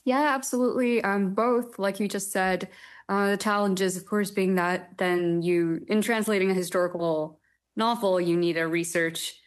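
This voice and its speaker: A young woman from Taiwan, a literary translator, answering interview questions thoughtfully with frequent pauses and ums. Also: mid-range voice, steady tone. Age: young adult. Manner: hesitant, thoughtful, many ums.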